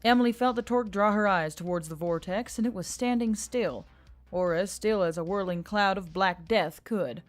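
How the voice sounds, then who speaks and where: A woman's voice: mid-range voice, normal speed. A woman narrator reading a dramatic action story from a script. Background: faint background music.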